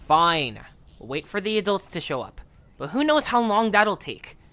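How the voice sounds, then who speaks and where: A woman's voice: on the low side, sing-song, unhurried, vocal fry, fairly bright tone, exaggerated voice. A woman voicing an impatient, skeptical young leader of a creature band in an amateur audio story. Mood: grudging, doubtful. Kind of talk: amateur audio drama acting.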